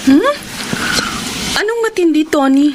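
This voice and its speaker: A woman's voice: high voice, lively intonation, unhurried, noisy background. A woman playing a flustered, defensive storekeeper in a Filipino radio drama, with a Filipino accent. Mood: flustered, denying.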